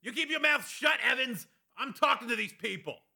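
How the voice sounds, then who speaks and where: A man voicing a big, hot-tempered working-class man in an audiobook, raspy and strained, blustering in coarse slang. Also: very high-pitched, animated, moderate pace, sharp tone, voice pushed up, heavily acted. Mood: angry. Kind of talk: audiobook character acting.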